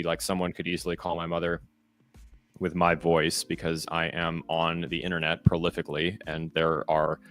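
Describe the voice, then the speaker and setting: A man co-hosting a morning podcast in casual chat, with a fairly monotone voice, giving practical advice with lots of ums and you-knows. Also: low voice. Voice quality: relatively monotone voice. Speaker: co-host.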